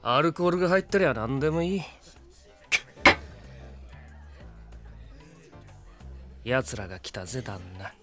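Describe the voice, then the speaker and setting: A man's voice: mid-range voice, big pitch swings, quick pace, over loud music, natural voice. A man playing a cocky, sardonic gunslinger for hire in a Japanese audio drama, with a swaggering, taunting manner. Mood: cool, wry.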